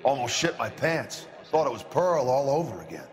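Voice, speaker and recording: Actor doing brash game security boss, mid pitch, slangy and cocky, music going behind. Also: male voice, sing-song, moderate pace, natural voice. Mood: cocky, evasive. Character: brash, slippery male head of aircraft company security. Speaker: head of security. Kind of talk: video game cutscene acting.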